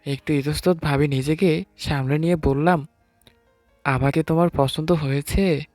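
A man's voice: on the high side, steady tone, unhurried, darkish tone, no room sound, natural voice. A man playing a nervous, hesitant newlywed husband who narrates his own story in an audio romance. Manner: hesitant, sheepish. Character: timid, nervous newlywed husband, late twenties. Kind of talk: first-person story narration with acted dialogue. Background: music.

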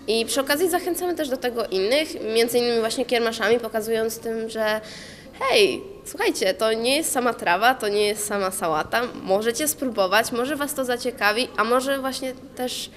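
A woman's voice: on the high side, normal speed. A teenage girl student answering interview questions in a school hallway, chatty and earnest, into a handheld mic. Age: teens. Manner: chatty, earnest, many fillers. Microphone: handheld mic held by interviewer. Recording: crowd chatter behind.

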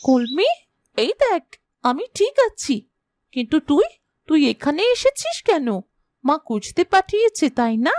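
Woman voicing an animated, high-voiced innocent girl. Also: measured pace, no echo, clean studio sound. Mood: surprised. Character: cheerful, innocent young girl, affectionate friend. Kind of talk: Bengali fairy-tale cartoon dubbing.

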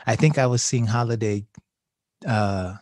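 A man's voice: fairly low pitch, measured pace, no echo, studio quality. A middle-aged man hosting a casual podcast, telling stories animatedly and acting out quotes with laughing asides. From Haiti. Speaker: podcast host, social worker.

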